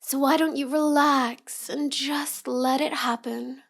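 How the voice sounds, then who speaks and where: A woman's voice: high voice, fairly even intonation, creaky, a bit bright, full character voice. A woman playing a cute, teasing young villainess in an intimate roleplay audio, drawing out her words as she mocks the listener. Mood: coaxing, gloating. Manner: slow, teasing delivery with drawn-out words and giggles. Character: cute, teasing, mocking young villainess with mind-control powers.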